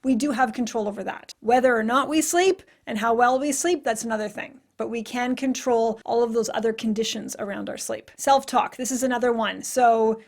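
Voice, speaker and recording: A woman's voice: a little high, a little fast, few pauses. A woman martial arts coach, sick and losing her voice, giving a chatty instructional podcast talk with small laughs and self-corrections.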